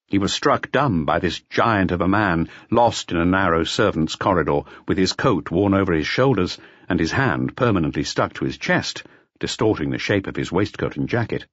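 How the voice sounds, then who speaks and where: Deep-voiced, animated male audiobook narrator. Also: no room sound, dull audio. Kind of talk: scripted mystery audiobook narration.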